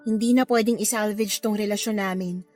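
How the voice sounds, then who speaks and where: An actress playing a guarded, heartbroken younger sister in a radio drama, with a wry, reluctant manner. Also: female voice, medium pitch, steady tone, brisk, darkish tone, soft background music, natural voice. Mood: hurt, bitter. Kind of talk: Tagalog radio drama acting.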